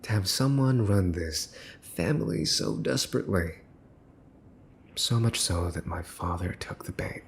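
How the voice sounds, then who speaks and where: Man playing a low, smug young mafia heir. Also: expressive, a little slow, vocal fry, slight background noise, heavily acted. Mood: wry, mocking. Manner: mocking, theatrical drawl with mock-cute wording. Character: smug, menacing, teasing young mafia boss's son. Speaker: voice actor. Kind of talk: ASMR roleplay monologue.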